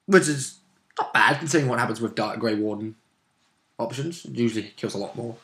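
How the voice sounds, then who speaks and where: A man's voice: medium pitch, brisk, fairly bright tone. A man chatting casually as a player in a tabletop roleplaying game, commenting on the story. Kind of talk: casual chat.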